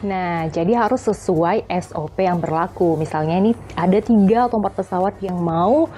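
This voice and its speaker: A woman's voice: fairly low pitch, moderate pace, warm tone, a little hiss or hum. A woman hosting a casual, chatty educational explainer in a playful, jokey, colloquial way. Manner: playful, jokey, acts out little dialogues to illustrate points. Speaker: host of an aviation explainer channel.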